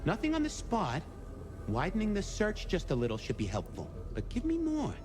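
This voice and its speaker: A man's voice: sits high, wildly swooping pitch, normal speed. An actor playing a flirtatious, theatrical male police records clerk in a video game, coy and playfully helpful, with music behind him. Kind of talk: video game dialogue acting.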